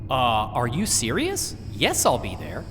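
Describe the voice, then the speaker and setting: A woman voicing a playful older male mercenary commander who teases his crew, in an animated sci-fi drama. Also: very deep voice, in a large hall, faint music behind, full character voice. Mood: excited. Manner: playful, teasing, quick banter.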